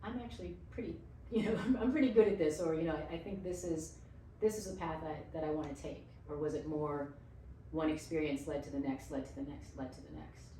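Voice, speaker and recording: Woman, low voice, interviewer, rambling filler-heavy questions, heavy echo, distant. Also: a little fast, dark tone, slight background noise. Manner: rambling questions full of fillers, laughs easily. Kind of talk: casual interview conversation. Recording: very echoey room, voice far from mic.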